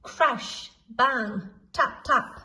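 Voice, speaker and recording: A woman reading a children's story aloud expressively, deliberately drawing out the dramatic sound words. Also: high voice, pushed and bright. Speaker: school storyteller.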